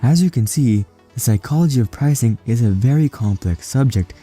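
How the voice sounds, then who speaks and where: A man narrating a scripted explainer in an even, read-out way. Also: mid-range voice, brisk, soft background music.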